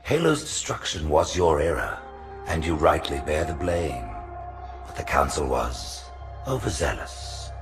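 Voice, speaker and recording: An actor voicing a scheming ancient alien high priest, silky, imperious and menacing. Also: male voice, sing-song, unhurried, over music, full character voice.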